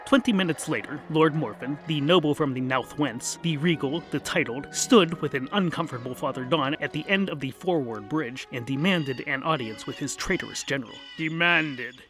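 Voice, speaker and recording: A man's voice: a little high, a little fast, hardly any pauses, a bit bright, faint music behind. A man narrating a scripted comic fantasy story in a theatrical, wry manner.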